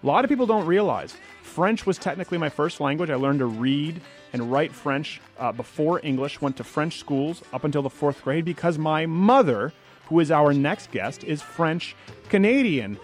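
A young adult man hosting a political talk radio show, talking fast and argumentatively with frequent asides. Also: a little high, lively intonation, soft background music. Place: radio studio.

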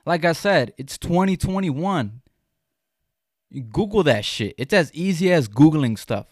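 A man's voice: fairly high pitch, animated, measured pace, dry recording, clean studio sound. A young man whose first language is Spanish, hosting a casual podcast chat in an earnest, emphatic way with frequent restarts. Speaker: podcast host.

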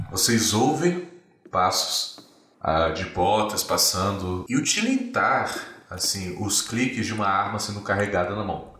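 A Brazilian man runs a tabletop game, his low voice bright and forward as he describes each scene with searching pauses. The room rings with echo, and soft music plays underneath. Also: a little hiss or hum. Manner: descriptive, hesitant pauses while narrating. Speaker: game master.

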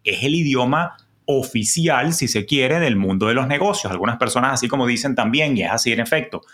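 A man with a Venezuelan accent hosting a personal finance podcast, talking in a lively, colloquial, playful way. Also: mid-range voice, brisk. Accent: Venezuelan Spanish. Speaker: podcast host.

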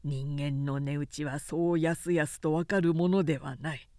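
A woman's voice: sits low, steady tone, moderate pace, dark tone, no echo, studio quality, voice pushed down, close to an everyday voice. A woman reading as a proud, grumpy old samurai father-in-law in a story, muttering with weary restraint. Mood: stubborn resolve. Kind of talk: dramatic audiobook character reading.

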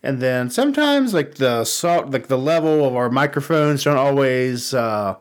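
A man's voice: medium pitch, expressive, moderate pace, clear, resonant tone. A man co-hosting a podcast in casual chat, rambling and restarting his sentences, sitting a little back from his mic. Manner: rambling, restarts a lot, laughs at his own jokes. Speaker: podcast co-host. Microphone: studio mic, sitting a bit back from it.

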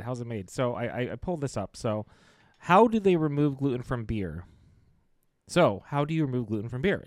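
A young man, the producer, talks in an explanatory way and reads out details. His voice sits close to the mic with no room echo around it. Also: medium pitch. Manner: explanatory, reads facts aloud. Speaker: podcast producer. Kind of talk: casual podcast chat. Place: small podcast studio. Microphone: studio microphone.

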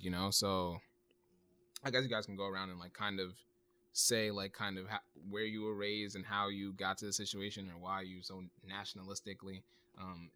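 A man's voice: lowish voice, sharp tone. A young man hosting a casual podcast, hesitant and filling his talk with "um" and "like". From United States. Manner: hesitant, many "um" and "like" fillers. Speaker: podcast host. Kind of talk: casual chat.

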